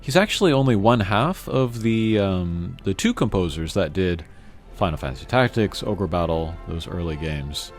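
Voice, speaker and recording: A man's voice: deep voice, animated, normal speed, few pauses. A man hosting a gaming channel, giving casual, rambling and hesitant playthrough commentary. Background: game music.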